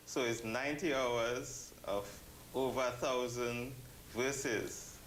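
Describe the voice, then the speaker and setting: A man answering as an interview guest, halting and phrase by phrase. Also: medium pitch, fairly even intonation, a bit bright. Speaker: interview guest, event committee member. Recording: roomy echo, faint ambient noise.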